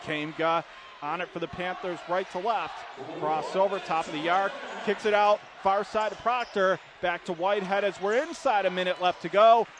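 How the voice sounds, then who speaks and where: High-pitched man calling basketball on radio. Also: fairly even intonation, moderate pace. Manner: clipped running description of play in short phrases. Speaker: play-by-play basketball announcer. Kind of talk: live radio sports commentary. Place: indoor basketball arena. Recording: noisy live gym, bouncing ball behind. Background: loud game ambience, basketball bounces.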